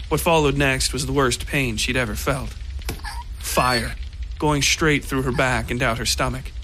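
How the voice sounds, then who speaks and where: A man narrating scripted dark fiction as the storyteller of a horror podcast. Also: medium pitch, a little hiss or hum. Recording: music under the voice.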